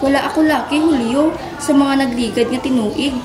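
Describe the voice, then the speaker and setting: A woman's voice: sits high, moderate pace, lightly acted. A woman with a Western Visayan Filipino accent playing a hurt but firm young mother and wife in a radio drama. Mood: earnest, guarded. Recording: echoey room with music bed.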